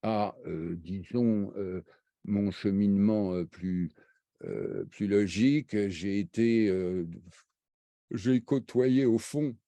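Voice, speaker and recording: Older man, deep, halting recollection. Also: warm tone, no room sound. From raised half in Brazil, half in France. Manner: halting, many fillers and restarts. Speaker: interview guest, economist. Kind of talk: hesitant spoken recollection.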